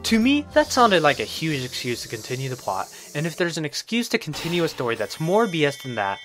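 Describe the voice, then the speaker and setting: A man's voice: on the high side, wildly swooping pitch, brisk. A man reading a scripted game review as a narrator. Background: music under the voice.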